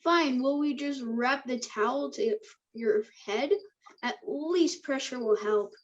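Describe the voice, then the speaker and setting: High, muffled, anxious teenage boy read by a woman. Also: slow. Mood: urgent, concerned. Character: Max, anxious, caring teenage boy helping a friend. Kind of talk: table read of a drama script.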